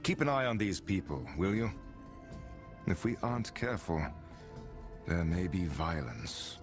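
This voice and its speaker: A man's voice: deep voice, very animated, unhurried, over music. A man playing a grave, terse adventurer hero in a fantasy game, speaking in a brooding way. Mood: grave, guarded. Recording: game score behind.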